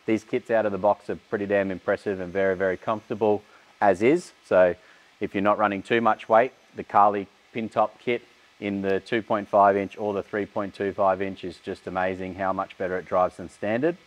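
A man's voice: fairly low pitch, flat delivery, a little fast, highs cut off. A man, giving a casual walkaround tour of a vehicle workshop with frequent fillers. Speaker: workshop host. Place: large vehicle workshop indoors.

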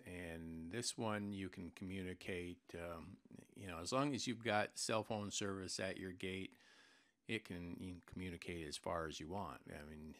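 Male product presenter, extremely deep and gravelly, off-the-cuff explanatory delivery with hesitant pauses. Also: fairly bright tone. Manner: halting, informal explaining with mid-sentence pauses. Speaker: product presenter for an intercom seller. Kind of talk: unscripted product walkthrough over screen recording.

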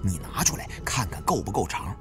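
A man's voice: low voice, very animated, fairly quick, music in the background, pitched below the performer's own voice. A man playing a level-headed, wry young adventurer. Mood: planning. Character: level-headed young male tomb raider, resourceful, wry.

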